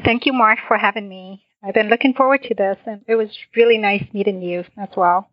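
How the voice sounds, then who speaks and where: A woman's voice: medium pitch, a little fast, dry recording, highs cut off. A woman in her forties from Vietnam, a finance executive, telling her career story as a podcast guest, friendly and laughing now and then. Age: 40s. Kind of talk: interview answers.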